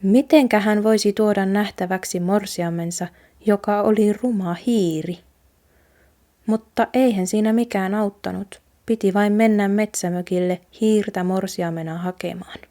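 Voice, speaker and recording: A woman from Finland reads a folk tale aloud. Her voice is mellow and soft-edged, at a medium pitch. She narrates in storybook style. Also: steady tone. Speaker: Finnish teacher reading aloud.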